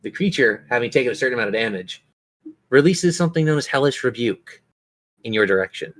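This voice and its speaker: Male game master, mid-pitched, descriptive monster narration mixed with casual rules talk. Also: expressive, fast talker, a bit bright.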